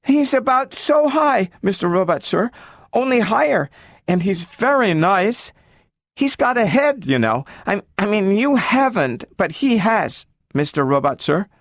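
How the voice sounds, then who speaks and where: Brief: male narrator playing a small, earnest girl, high treble, very polite, leaping pitch. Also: sing-song, unhurried, no echo, clean studio sound, exaggerated voice.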